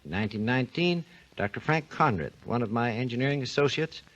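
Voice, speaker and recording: A man, a research engineer and guest, explains his subject in a measured, lecturing way on a scripted 1940s radio talk program. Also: mid-range voice, animated, fairly bright tone, highs cut off.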